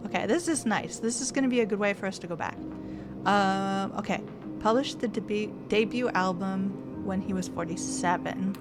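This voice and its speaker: Woman streamer musing out loud, reading stuff off screen, game music under her. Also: medium pitch, normal speed. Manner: thinking aloud, chatty, reads text from the screen. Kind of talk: casual let's-play commentary.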